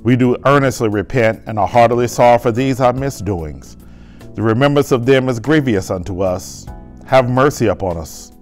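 Male pastor, middle-pitched, fervent sermon delivery and solemn liturgy, lapel mic in a church, soft music under. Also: moderate pace. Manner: fervent, emphatic preaching and solemn prayer. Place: church sanctuary, indoors.

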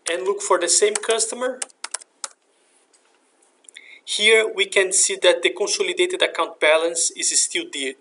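A man narrating a software demonstration in a step-by-step, instructional way. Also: on the high side. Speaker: software demo presenter. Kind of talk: scripted software tutorial narration. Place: screen recording voice-over.

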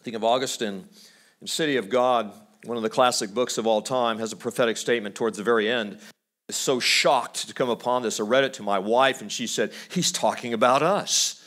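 A middle-aged man from California preaching a sermon at a church lectern, swinging from loud, high exhortation to hushed, low storytelling and restarting his phrases as he goes. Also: brisk. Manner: repeats words as he restarts phrases. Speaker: pastor. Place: church stage at a wooden lectern.

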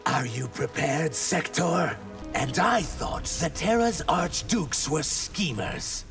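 A man's voice: fairly high pitch, sing-song, takes it slowly, creaky, fairly bright tone, faint music behind, exaggerated voice. An actor voicing a brash, cocky young male warrior.

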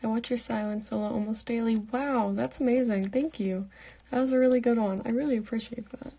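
A young woman in her late teens chats through a live Q&A, keeping her voice soft and half whispered. Her tone is breathy and mellow, with a gravelly edge at the ends of her phrases. She holds the phone at arm's length in her bedroom at night. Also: a little high, steady tone, a little fast. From Pittsburgh, United States. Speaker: livestream host answering fan comments. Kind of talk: casual live Q&A chat. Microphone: phone held at arm's length.

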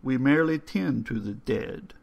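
A man voicing an old undertaker who speaks in a formal, slow and ominous way. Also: mid-range voice, animated, creaky, pitched above the performer's own voice. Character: old Hungarian male undertaker, courtly and eerie.

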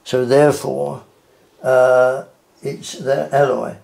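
An elderly man, giving an informal guided tour in a halting, measured, formally courteous way. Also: mid-range voice, flat delivery, creaky, a little mellow. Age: 80s or older. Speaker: collector showing his archery collection. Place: wood-floored indoor collection room. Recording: roomy indoor echo.

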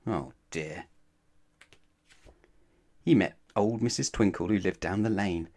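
A man reading a children's storybook aloud expressively, with dramatic, deliberate emphasis. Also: low voice, darkish tone. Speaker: storybook narrator.

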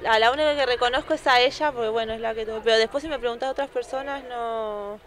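A young woman in her twenties with an Argentine accent, speaking shyly and softly with many fillers in a street news interview. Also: a little high, steady tone, fast talker. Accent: Argentine Spanish. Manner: shy, soft, with many fillers. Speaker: new mother interviewed. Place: city sidewalk outdoors. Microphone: handheld reporter microphone. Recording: faint street noise behind.

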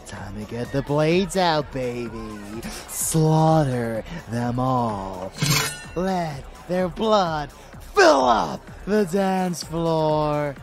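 A man playing a murderous, possessive male vampire lover in an ASMR audio drama, theatrical and drawn-out, both adoring and menacing. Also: fairly high pitch, lively intonation, soft background music, exaggerated voice. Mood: bloodthirsty glee.

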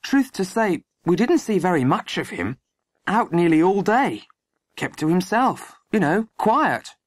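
A feisty, chatty hotel woman as an audiobook narrator plays her, pitched well above the storytelling voice. She is loud, flustered and indignant, swooping through her gossip. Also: very animated, a little slow, no room sound. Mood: gossipy.

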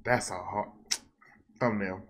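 A man's voice: lowish voice, steady tone, slow pace, raised voice, very noisy. A young American man giving casual, slangy reaction commentary and often repeating his phrases. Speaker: reaction video host. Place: small room at home.

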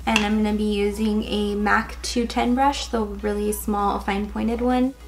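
A young woman in her twenties with a raspy, slightly hoarse voice, chatting casually through a makeup tutorial with lots of "um" and "like". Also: mid-range voice, fairly even intonation, a little slow. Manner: casual, chatty, many fillers like "um" and "like". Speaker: beauty vlogger. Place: indoors, in front of a gold sequin backdrop.

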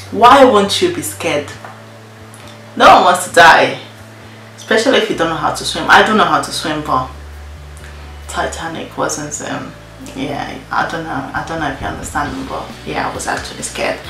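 A young woman whose first language is Spanish, chatting casually to her camera in a story-time vlog. She talks loosely, repeats her own phrases, and fills pauses with many ums. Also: sits low, vocal fry, fairly bright tone. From Spain. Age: young adult. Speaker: vlogger. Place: indoor room against a plain wall. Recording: roomy echo, faint music.

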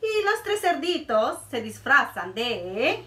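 A woman in her thirties reading a picture book aloud to children in a playful, expressive way, in a small room. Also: high voice, takes it slowly, reverberant, distant mic. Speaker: children's librarian. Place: small room indoors, before a board.

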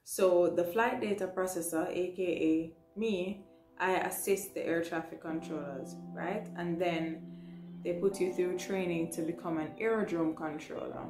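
A woman's voice: on the low side, measured pace, a little mellow. A young adult woman with a Jamaican accent, giving an informal, instructive explainer to camera in a small room. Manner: instructive, often tagging points with "right" and "you know". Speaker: vlogger, trainee air traffic control assistant. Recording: roomy echo with music underneath. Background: music.

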